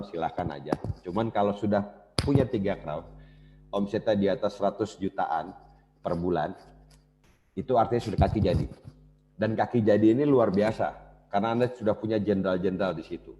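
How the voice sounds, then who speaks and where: Low mellow guy, talking to the crowd like a coach, muffled old tape sound. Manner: casual, addresses audience directly. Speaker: business speaker. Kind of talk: motivational seminar talk. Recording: dull lo-fi cassette recording.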